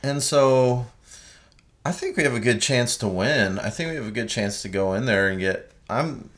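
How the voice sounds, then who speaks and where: A man's voice: mid-range voice, moderate pace, fairly bright tone. A man co-hosting a casual sports podcast with a slight Southern accent, talking through what he has looked up with plenty of ums and restarts. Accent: slight Southern American. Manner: chatty, explains research with many fillers. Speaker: podcast co-host.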